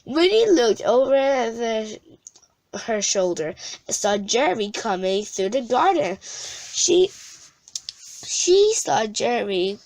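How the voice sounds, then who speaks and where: A woman reading a children's storybook aloud, haltingly, often stumbling and restarting words. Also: fairly high pitch, very animated, gravelly, a little mellow, poor audio.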